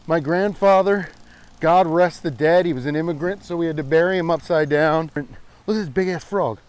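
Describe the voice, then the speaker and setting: Playful, higher-pitched American man rambling. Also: normal speed, clean tone. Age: 40s. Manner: playful, irreverent, swears freely. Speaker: host of a walking vlog. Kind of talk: casual rambling comedic vlog chat. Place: outdoors, walking on a trail.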